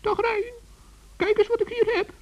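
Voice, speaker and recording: A man voicing a small old gnome, gentle, shy and dithering, in a children's radio play. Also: very high-pitched, flat delivery, moderate pace, voice pushed up. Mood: pitying, earnest. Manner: dithering, repeats himself, talks in diminutives. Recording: dull old radio recording.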